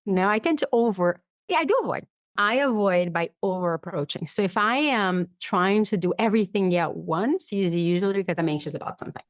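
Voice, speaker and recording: A woman guest in a conversational interview, explaining ideas through personal examples and acting out her inner dialogue in an animated way. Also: mid-range voice, a little fast, no room sound, studio quality. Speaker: podcast guest, psychologist and author.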